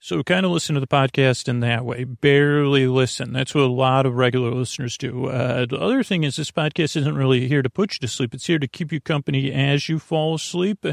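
Creaky-voiced man, rambling and close-up. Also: mid-range voice, a little fast, hardly any pauses, no echo. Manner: meandering, stammering, trailing off and losing his thread. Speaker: podcast host. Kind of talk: rambling, informal bedtime podcast talk to listeners.

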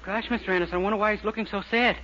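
A man's voice: high-pitched, steady tone, rapid-fire, pushed and bright. An actor playing a naive, boastful young man in an earnest, guileless way in a 1940s radio comedy. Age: young adult. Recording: noisy muffled 1940s radio recording.

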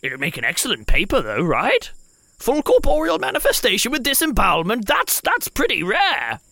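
A man's voice: high-pitched, huge pitch swings, moderate pace, vocal fry, sharp tone, no echo, exaggerated voice. A man playing an eager, reckless scientist in a tabletop game, with a theatrical, pompous manner. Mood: gleeful, pompous.

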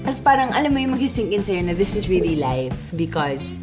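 Joking, animated Filipino woman. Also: on the low side, quick pace, over music. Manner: joking, animated, code-switching. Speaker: podcast guest, former radio partner. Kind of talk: casual chat.

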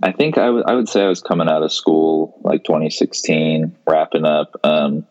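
Very deep, flat-voiced young man. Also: moderate pace, highs cut off. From Seattle, Washington, US. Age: 20s. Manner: laid-back, many fillers and restarts. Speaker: podcast guest, photographer. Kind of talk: casual interview chat.

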